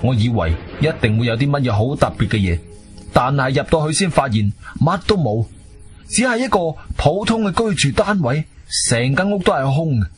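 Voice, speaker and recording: A man acting as an intrepid adventurer, telling his story in expressive, suspenseful Cantonese radio-drama style. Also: mid-range voice, normal speed, natural voice. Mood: puzzled, disappointed. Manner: expressive, suspenseful, colloquial delivery. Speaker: narrator and lead actor. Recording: background music under the voice.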